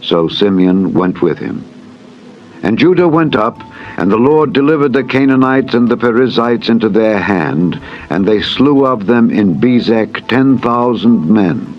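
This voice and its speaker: Man, scripture reading, solemn, formal delivery, somewhat low voice, dull lo-fi sound, faint music behind. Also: lively intonation, a little slow. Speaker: Bible narrator.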